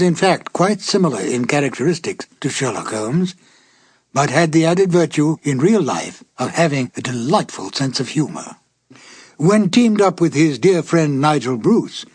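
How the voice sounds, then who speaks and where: An older man narrates as host in a measured, storytelling manner. His voice is husky and sits a little high for a man. The recording is dry and intimate, and the delivery is formal and theatrical. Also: darkish tone, dry recording. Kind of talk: scripted narration introducing vintage radio mystery episodes.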